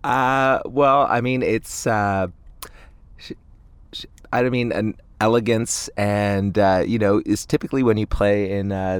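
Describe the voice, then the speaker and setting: A man in his late twenties chatting casually as an interview guest, thoughtful and halting in his phrasing. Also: sits low, clear, resonant tone, dry recording. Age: late 20s. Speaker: indie band frontman, interview guest.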